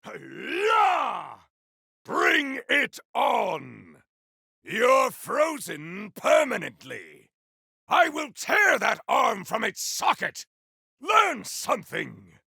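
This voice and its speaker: A gravelly, shouting game warrior. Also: male voice, very high voice, huge pitch swings, slow pace, no echo, exaggerated voice. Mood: battle shouts. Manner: boastful battle cries. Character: bold shouting male warrior fighting monsters. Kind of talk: video game combat voice lines.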